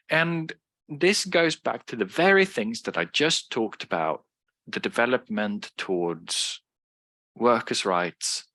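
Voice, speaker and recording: A lecturer with a lowish voice and a bright, forceful edge, recorded dry and close. He is a native Swedish speaker who explains step by step, with many fillers and restarts. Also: no room sound. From Sweden. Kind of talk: online lecture.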